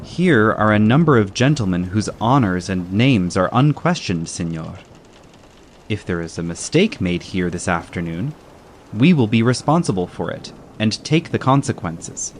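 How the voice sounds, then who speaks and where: Man acting a low-voiced, courteous gentleman caballero. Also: animated, a little hiss or hum, close to an everyday voice. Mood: calm defiance. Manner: formal, measured, polite. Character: courteous, firm gentleman caballero, calmly defiant. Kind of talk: dramatized audiobook reading.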